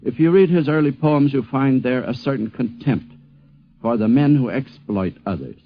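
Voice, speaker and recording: A man giving a reflective spoken tribute, stressing his points deliberately. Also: medium pitch. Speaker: commentator recalling an acquaintance. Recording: dull lo-fi vintage radio recording.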